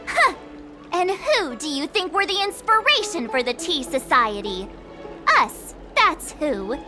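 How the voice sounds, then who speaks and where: A woman voicing a spirited, assertive young girl adventurer in a video game, sassy and expressive. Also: high-pitched, measured pace, music in the background. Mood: defiant. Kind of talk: video game character dialogue.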